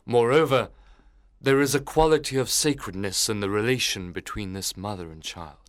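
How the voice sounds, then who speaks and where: A man playing a troubled young minister in a dramatized audiobook, speaking in a measured, earnest, preacherly way. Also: on the low side, no echo, studio quality, natural voice.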